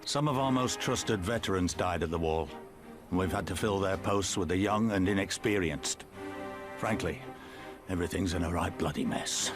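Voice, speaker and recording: A man acts out an older resistance commander in a fantasy game, proud, wry and tired. His low voice moves in measured, blunt phrases with folksy turns. Music plays clearly behind him. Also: close to an everyday voice. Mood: weary, frank. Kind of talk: video game cutscene acting.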